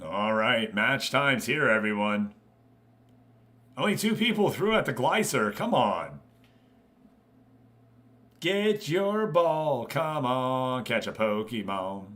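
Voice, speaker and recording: Bright-voiced man hosting a casual game stream. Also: medium pitch, sing-song, slow, pauses often. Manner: informal, conversational, addressing viewers directly. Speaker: live stream host. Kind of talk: casual game stream commentary, chatting with viewers.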